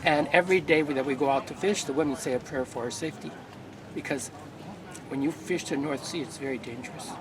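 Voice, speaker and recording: A man playing an old-time Norwegian immigrant fisherman, telling tall tales in a droll, deadpan way outdoors. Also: on the high side, fast, distant mic, crowd noise, close to an everyday voice, low-quality recording. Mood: droll storytelling. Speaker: living-history storyteller. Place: outdoor festival grounds. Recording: outdoor noise, voice a bit off mic.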